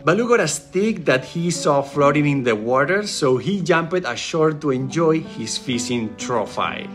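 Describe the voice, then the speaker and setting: A man in a native Spanish speaker's voice-over narration, wistful and gently joking as he tells the story. His pitch moves in a lively way, rising and falling. Soft guitar music plays quietly behind him. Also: measured pace. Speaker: vlog narrator.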